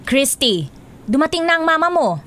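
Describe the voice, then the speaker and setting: Chiding aunt, high animated voice. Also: female voice, normal speed, soft background music. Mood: matter-of-fact. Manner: emphatic, chiding. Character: disapproving, protective aunt of a college student. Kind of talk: Filipino radio drama acting. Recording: faint ambient noise behind.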